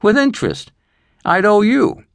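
An everyday guy out of his depth in a crime story, read by a male narrator. He sounds cautious, plain-spoken and questioning, with animated pitch in a dry, close recording. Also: measured pace, gravelly, dry recording, heavily acted. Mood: uneasy. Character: uneasy local small businessman, cautious and questioning.

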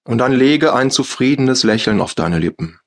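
A man with a German accent guiding a yoga nidra relaxation in slow, soft, calm instructions with long pauses. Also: medium pitch. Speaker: meditation guide. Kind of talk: guided yoga nidra meditation.

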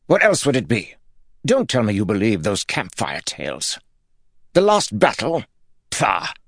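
A man's voice: medium pitch, moderate pace, no echo, studio quality, voice pushed up, lightly acted. A man voicing a raging, unhinged middle-aged lord who barks threats and sputters with anger in a storybook. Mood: scornful.

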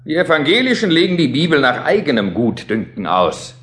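A man's voice: a little high, very animated, fairly quick, fairly bright tone. A man playing a blunt, prejudiced churchgoer who declaims dismissively in a German radio play.

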